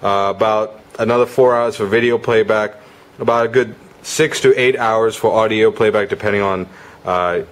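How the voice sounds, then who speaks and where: A man's voice: fairly low pitch, fairly even intonation, normal speed. A man giving a casual, conversational product demo as a gadget reviewer.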